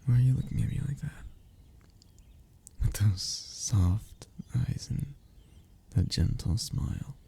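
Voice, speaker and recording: A man acting a lovesick, anxious young man in an intimate romantic audio roleplay, with a halting, emotional delivery and breathy murmurs. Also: mid-range voice, warm tone, in a whisper, full character voice. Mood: tender, disbelieving. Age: young adult. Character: lovesick, anxious young man confessing to childhood best friend.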